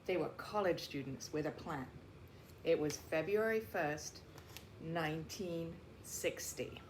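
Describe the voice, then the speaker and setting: A woman reading a picture book aloud like a teacher, with deliberate, emphatic phrasing and explanatory asides, in a roomy recording. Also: sits low. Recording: roomy echo, faint background noise.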